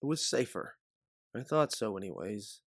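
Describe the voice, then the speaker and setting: A man playing an older, protective bounty hunter mentor in an audio drama, speaking in an earnest, measured way. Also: lowish voice, animated, dry recording, studio quality. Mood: confessional. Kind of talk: dramatic audio fiction acting.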